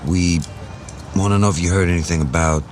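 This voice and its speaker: Man acting a very deep-voiced gruff detective. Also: fairly even intonation, fairly quick, noisy background, close to an everyday voice. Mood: businesslike. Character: gruff, dry-humored veteran male police detective. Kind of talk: noir-style audio drama acting.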